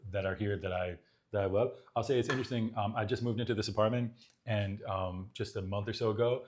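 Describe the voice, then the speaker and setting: A man's voice: lowish voice, fairly even intonation, quick pace. A man from Boston, an art collector, talking casually in his apartment, rambling with many fillers and restarts. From Boston, Massachusetts. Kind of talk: casual conversation.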